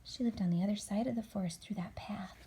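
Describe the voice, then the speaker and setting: A woman reading a storybook to a child as a mother, dramatic and expressive, dropping into hushed tones for suspense. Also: medium pitch, quick pace, slight background noise.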